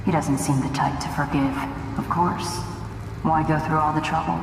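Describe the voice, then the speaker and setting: A woman playing a cool, ruthless assassin's lieutenant in a video game, low-key and matter-of-fact. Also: fairly even intonation, moderate pace. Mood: dry, ruthless. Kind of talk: dramatic video game acting. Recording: clear background ambience noise.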